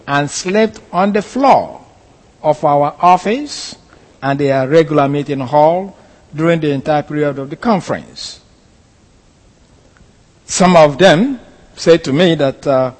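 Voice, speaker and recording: A Nigerian-accented pastor recounts events to his congregation, his voice sitting a little high for a man. He tells it haltingly, full of "uh"s and restarts. The sound carries a long reverb, as if heard in a large hall. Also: darkish tone. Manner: halting storytelling with frequent fillers and restarts. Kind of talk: sermon testimony.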